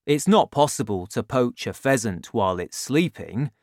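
A man reading an audiobook, voicing a scheming father in a lowered, softer, confiding voice. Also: lively intonation, moderate pace, no echo, studio quality, lightly acted. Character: warm, scheming father, quietly resentful. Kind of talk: audiobook character voice.

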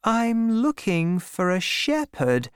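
A woman's voice: mid-range voice, big pitch swings, slow pace, clean tone, dry recording, studio quality, pitched above the performer's own voice. A woman voicing a composed, authoritative young lady farm owner in a storybook reading. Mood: commanding.

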